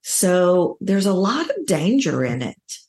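Low-pitched middle-aged Texan woman. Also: big pitch swings, slow pace, darkish tone. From Texas, United States. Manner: emphatic, illustrates points with acted-out quotes. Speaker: voice coach, podcast guest. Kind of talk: conversational interview.

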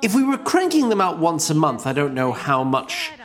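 A man's voice: a little high, very animated, moderate pace, fairly bright tone. A man giving casual voice-actor commentary in a hesitant, reflective, self-deprecating way.

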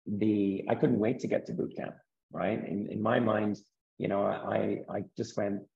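Croaky, gravelly man, low and dark. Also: flat delivery, unhurried, lo-fi sound. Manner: earnest, repeats words as he restarts. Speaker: guest, property investor. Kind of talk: interview conversation.

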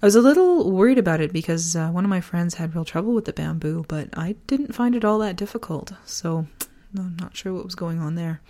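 Low-pitched woman losing her voice. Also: mellow. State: losing voice from a sore throat virus. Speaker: podcast host. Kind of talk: personal podcast, reflective essay and casual chat.

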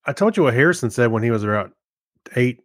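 A man's voice: medium pitch, lively intonation, fast. A man co-hosting a podcast, chatting casually and telling things in a hesitant, rambling way. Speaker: podcast co-host. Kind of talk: casual chat.

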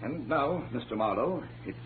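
Villain male actor, cold deliberate killer, threatening, medium pitch, thin and very noisy vintage radio. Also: animated, close to an everyday voice. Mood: menacing. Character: menacing, coolly self-justifying male killer. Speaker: supporting actor. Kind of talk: 1940s radio acting. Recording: tinny, very noisy old radio broadcast.

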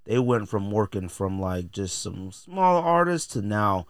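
An American man with a low voice, recorded close and dry. He talks like a podcast host sharing opinions, informal and full of slang. His delivery is blunt and conversational. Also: sing-song, a little slow, clean tone, no room sound. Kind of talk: casual opinionated podcast talk.

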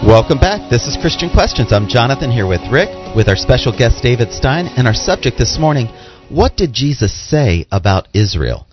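A man's voice: medium pitch, sing-song, moderate pace, soft background music. A man co-hosting a radio show, delivering scripted announcements and scripture readings. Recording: slightly dull, band-limited radio sound.

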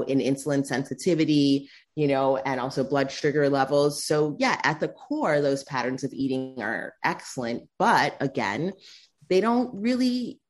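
A woman speaking as a guest in a conversational interview, often tagging her points with "right?" and "you know". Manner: animated, pitch jumps when quoting others.

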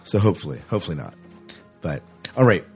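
A man's voice: low voice, sing-song, fast talker, darkish tone, soft background music. A man hosting a podcast in casual chat, rambling along in a jokey way. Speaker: podcast host.

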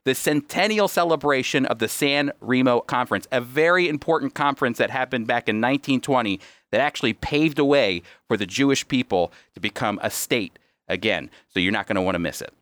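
A man's voice: mid-range voice, a little fast, fairly bright tone, no echo. A man with an American accent teaching on a radio program in an emphatic, explanatory way. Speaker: radio host and Bible teacher.

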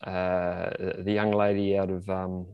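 A man's voice: sits low, flat delivery, a little slow, clear, resonant tone, dull audio. A man co-hosting a podcast, chatting casually and searching for his words with hesitant repetition. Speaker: podcast co-host. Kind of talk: casual interview chat.

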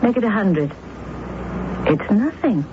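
Cool-headed scheming actress, theatrical, deliberate slow pace, muffled noisy radio drama. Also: female voice, mid-range voice, sing-song. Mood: bargaining, threatening. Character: shrewd, cool-headed actress ex-wife, manipulative and coaxing.

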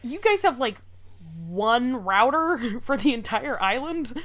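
A Canadian woman co-hosting a casual podcast, trading playful, quick-witted banter. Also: a little high, sing-song, fairly bright tone. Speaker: podcast co-host. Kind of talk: casual chat.

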